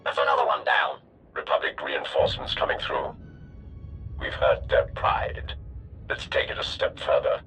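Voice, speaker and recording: An actor playing a cold, confident battle officer in a video game. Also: male voice, very deep voice, flat delivery, normal speed. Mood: smug. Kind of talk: cinematic video game acting. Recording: distant voice under loud music.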